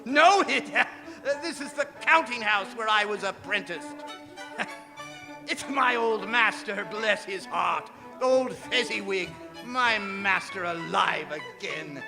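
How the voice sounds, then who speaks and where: An actor playing a fearful, regretful old miser in a theatrical radio drama, stammering and exclaiming, with music under him in a reverberant hall. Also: male voice, sits high, expressive, slow pace, creaky, full character voice. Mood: joyful, nostalgic. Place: performing arts center stage.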